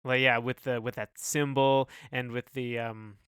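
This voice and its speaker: Guy on a music podcast, smooth clear voice, dry sound, chatting casually, feels around for the right words. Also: medium pitch, flat delivery, measured pace, a bit bright, dry recording. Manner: hesitant, groping for descriptive words. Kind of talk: casual chat reviewing songs.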